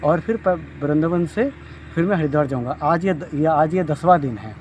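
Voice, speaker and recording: A man's voice: on the high side, expressive, moderate pace, darkish tone, highs cut off, slight background noise. A man from Uttarakhand on a long walking pilgrimage, earnestly explaining his views with many restarts, interviewed outdoors by a road. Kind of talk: roadside interview.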